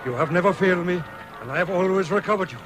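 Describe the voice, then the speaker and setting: An actor playing a grim, defiant archer captain in an audio drama, urgent and declamatory. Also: male voice, high-pitched, fast, over loud music, close to an everyday voice. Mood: solemn.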